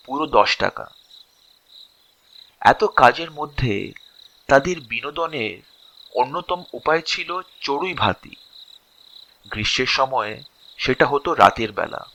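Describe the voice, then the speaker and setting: A male storyteller with a bright, clear-edged voice reads a scripted tale slowly. He breaks it into short, expressive phrases with dramatic pauses, over faint birdsong and cricket ambience. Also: mid-range voice, a little hiss or hum, low-quality recording. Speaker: storyteller, narrator.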